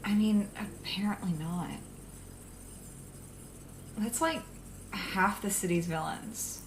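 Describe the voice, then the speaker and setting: A woman acting in an audio drama as a wry, cautious young female villain who plans briskly, with a dry, sarcastic manner. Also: mid-range voice, a little hiss or hum, natural voice. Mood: serious, wary.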